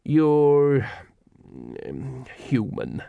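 A man's voice: mid-range voice, sing-song, gravelly, no echo, phone-quality sound, full character voice. A man voicing a worried old male dwarf king who hesitates and fumbles for words. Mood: hesitant. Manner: hesitant, halting with ums.

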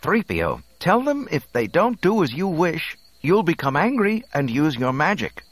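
A man's voice: fairly high pitch, wildly swooping pitch, unhurried, dry recording, voice pushed up, full character voice. A man voicing an earnest young hero in an audiobook, giving orders in an urgent, commanding manner.

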